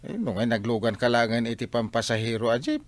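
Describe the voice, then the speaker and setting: An actor playing an admonishing male villager with an Ilocano accent and drawn-out openers, in radio comedy. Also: mid-range voice, big pitch swings, natural voice. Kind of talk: radio comedy drama acting.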